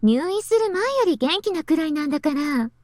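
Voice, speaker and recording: A woman voicing a bright, affectionate young girl in an anime visual novel. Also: high-pitched, big pitch swings, rapid-fire, no echo. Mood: cheerful, teasing. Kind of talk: Japanese anime game voice acting. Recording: dry studio voice over soft music.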